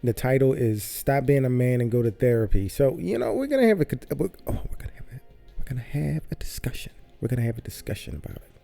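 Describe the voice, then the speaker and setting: A man's voice: mid-range voice, lively intonation, fast, creaky, mellow, soft background music. A man hosting his own podcast, talking loosely in a rambling way and often repeating himself. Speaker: podcast host. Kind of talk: casual solo podcast monologue. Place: home studio.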